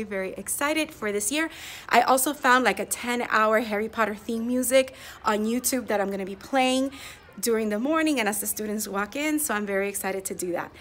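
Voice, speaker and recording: A young woman teacher chatting casually to the camera in her classroom, upbeat and excitable. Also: fairly high pitch. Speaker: fourth grade teacher and vlogger. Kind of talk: casual vlog chat. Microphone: camera propped nearby.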